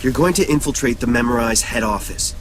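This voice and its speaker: A man's voice: medium pitch, lively intonation, a little fast, over music, lightly acted, rough recording. An actor playing a driven male rebel leader in a video game, giving orders in dramatic, cryptic, poetic phrases. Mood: commanding, intense. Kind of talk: video game voice acting, mission briefing.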